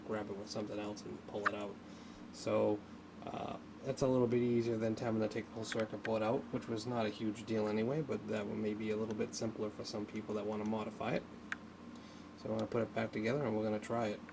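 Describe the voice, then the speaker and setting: Creaky low-voiced man thinking aloud. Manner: halting, thinking aloud while working. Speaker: hobbyist demonstrating an electronics repair. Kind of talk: unscripted hands-on tutorial narration. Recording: noticeable background noise.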